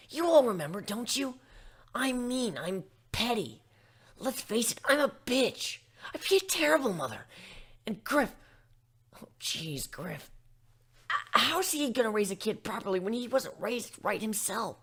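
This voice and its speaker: A woman voicing an anxious, self-doubting young female griffin in a story reading, agitated and halting in her speech. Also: on the high side, slightly rough, voice pushed up. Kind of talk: fan fiction dramatic reading.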